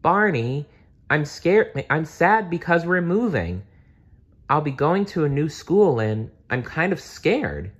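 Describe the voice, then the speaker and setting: A man's voice: sing-song, a little slow. A man doing a tearful five-year-old girl. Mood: sad. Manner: high, tearful, pleading. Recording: dull lo-fi recording.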